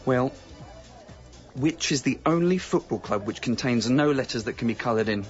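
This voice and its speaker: An actor playing an earnest, pedantic British flatmate who is hesitant and obsessed with pointless trivia, in radio comedy dialogue. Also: male voice, mid-range voice, moderate pace, lightly acted. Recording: dull lo-fi sound, faint music.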